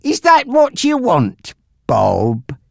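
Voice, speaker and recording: A narrator voicing a menacing, taunting male gangster boss in an audiobook story, with a threatening, drawn-out delivery. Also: mid-range voice, huge pitch swings, vocal fry, a little mellow, no echo, clean studio sound, pitched above the performer's own voice, full character voice. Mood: taunting menace.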